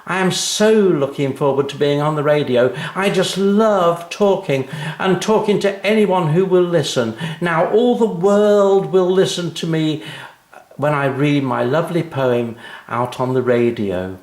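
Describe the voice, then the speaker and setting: A man's voice: a little high, a little slow, a little mellow, echoey room, voice pushed up, close to an everyday voice. A man voicing a smug, boastful little schoolgirl in a children's story, gushing and self-satisfied.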